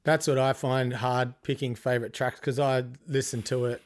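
A man of middle pitch steers a relaxed chat about an album. He asks his guest questions and adds his own picks in an easy, conversational way. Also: normal speed. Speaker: podcast host. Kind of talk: casual music discussion.

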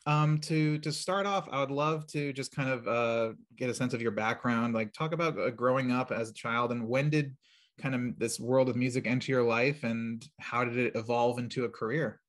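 A man's voice: mid-range voice, normal speed. A man hosting a casual interview, speaking in an effusive manner. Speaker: interview host.

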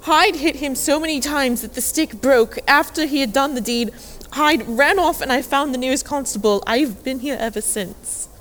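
Earnest, higher-voiced housemaid. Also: female voice, normal speed, fairly bright tone, slight background noise. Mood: distressed, earnest. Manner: deferential, wordy, earnest. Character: polite, chatty housemaid, shaken witness. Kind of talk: audio drama acting.

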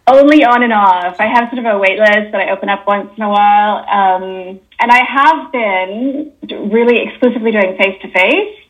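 A woman's voice: medium pitch, normal speed, low-quality recording. A woman in her fifties with a Canadian accent, a guest naturopathic doctor chatting casually in an interview, often correcting herself mid-sentence. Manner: chatty, with self-corrections and soft laughs.

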